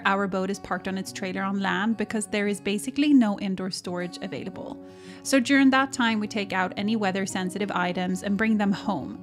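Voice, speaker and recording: Chatty woman vlogging from a boat cabin. Her voice sits at an ordinary middle pitch. She rambles a little, restarts her sentences and corrects herself, close to a camera that rests on a table in a small cabin. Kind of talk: casual vlog chat to camera.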